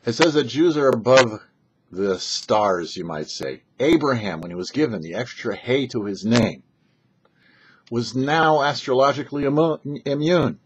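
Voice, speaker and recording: A man, a rabbi hosting a short religious commentary, telling and explaining scripture with emphatic, halting phrasing and pauses. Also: mid-range voice, expressive, slight background noise. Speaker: rabbi and host of a weekly Torah talk show. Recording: dull, lo-fi sound.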